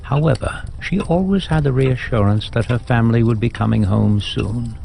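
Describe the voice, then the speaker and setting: A man narrating a scripted audio drama as a storyteller, in a measured, reflective manner. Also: medium pitch, creaky, dark tone, a little hiss or hum. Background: music.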